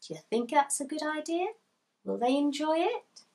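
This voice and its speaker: A woman in her thirties reading aloud to young children as a storytime host, with deliberate emphasis and pauses to ask listeners questions. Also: high-pitched, sing-song, a little mellow. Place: small indoor room with bookshelf.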